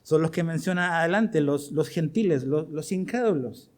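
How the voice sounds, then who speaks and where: A man's voice: on the high side, normal speed, a little mellow. A middle-aged man with a Chilean accent preaching to his congregation as pastor, asking them questions as he goes. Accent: Chilean Spanish. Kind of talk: sermon.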